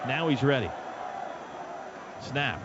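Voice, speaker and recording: A man's voice: mid-range voice, animated, slow pace, over a noisy crowd. Man calling football on radio, noisy dull sound. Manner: clipped, urgent play calling. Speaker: play-by-play announcer. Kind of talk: live radio football play-by-play commentary. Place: stadium radio booth.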